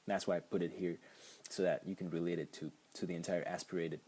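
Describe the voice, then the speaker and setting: A man's voice: low voice, level tone, fast talker, darkish tone, slightly rough. A young man with a Slovak accent, a native Slovak speaker, explaining sounds in a casual lesson with frequent hesitations. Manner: hesitant, with many ums and restarts. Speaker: language teacher.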